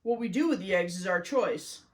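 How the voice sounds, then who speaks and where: A woman's voice: mid-range voice, animated, moderate pace, close to an everyday voice. A woman voicing a proud, earnest farm girl explaining things in a children's storybook.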